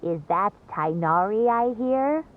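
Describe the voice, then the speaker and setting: A mature, genial professor in a video game. She speaks slowly at a middle pitch, drawing out her words, over faint background noise. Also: animated, narrow, tinny audio. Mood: pleasantly surprised. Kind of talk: video game character voice acting.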